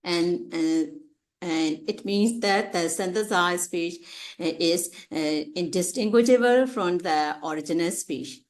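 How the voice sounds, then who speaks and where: Halting low-pitched woman. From Myanmar. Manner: halting, hesitant, with frequent pauses and repeated phrases. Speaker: researcher presenting at a conference. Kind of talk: academic slide presentation.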